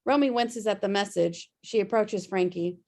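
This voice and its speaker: A woman's voice: medium pitch, normal speed, a little mellow. A woman reading scripted stage directions as narrator of a table read over a video call.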